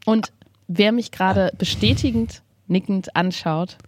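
A woman's voice: medium pitch, a little slow, a little hiss or hum. A woman co-hosting an improv podcast, chatting casually on a couch with a stuffy, nasal voice from a cold. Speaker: podcast co-host. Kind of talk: casual chat.

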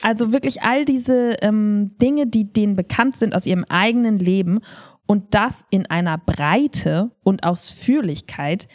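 A woman's voice: mid-range voice, a little slow, darkish tone, no echo. Woman in her 30s cohosting a podcast, super dry close sound, candid and emphatic, chatting casually. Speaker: podcast co-host, improv performer. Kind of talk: casual conversational podcast talk.

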